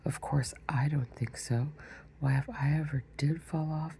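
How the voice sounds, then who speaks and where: Casting: a woman whispering as a pompous, touchy egg man from a storybook; very low, gravelly whisper, lofty and argumentative. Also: fairly even intonation, unhurried, dark tone, slight background noise. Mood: haughty. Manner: proud, argumentative.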